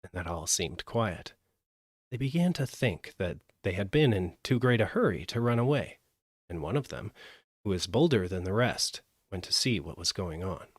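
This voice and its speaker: A man narrating a fairytale as a live audiobook, with an expressive, measured delivery. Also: low voice, slightly rough, no echo, studio quality. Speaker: storyteller.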